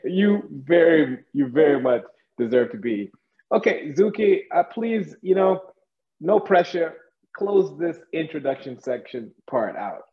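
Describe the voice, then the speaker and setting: A man's voice: a little high, lively intonation, measured pace. A man hosting a panel discussion, upbeat and joking as he moderates over a video call. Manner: playful, joking, enthusiastic. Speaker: panel host. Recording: echoey, dull room sound.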